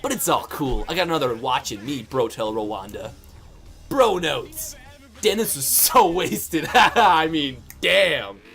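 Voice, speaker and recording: A man's voice: a little high, animated, takes it slowly, gravelly, fairly bright tone, faint music behind, full character voice. A man playing a dim, boastful bro game reviewer in a fan dub, rambling in exaggerated slang. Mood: bro swagger. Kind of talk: acted comic monologue in fan dub.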